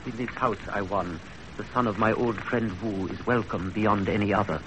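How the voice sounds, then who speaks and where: A gentle, gracious old Japanese gentleman, acted by a man, speaking formally in a fairly low voice. The 1930s radio drama recording is clearly noisy. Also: steady tone, normal speed, lightly acted. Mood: gracious, calm. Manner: courteous, formal.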